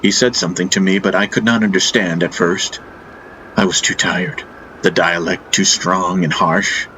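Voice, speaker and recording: A man reading a first-person fantasy audiobook as narrator, in a solemn, deliberate, dramatic style. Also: low-pitched, fairly even intonation, sharp tone, a little hiss or hum, poor audio. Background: faint music.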